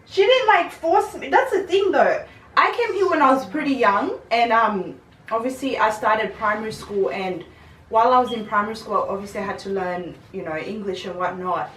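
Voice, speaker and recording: A woman's voice: on the high side, animated, moderate pace, a bit bright. A young woman hosting her own video channel, chatting casually and laughing often. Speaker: vlogger. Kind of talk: casual chat. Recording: roomy, distant mic, faint noise.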